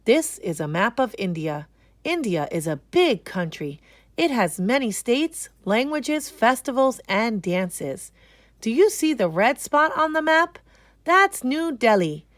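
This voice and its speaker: A woman narrating a children's storybook aloud, expressive and explaining things for young listeners. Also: fairly high pitch, takes it slowly, no echo.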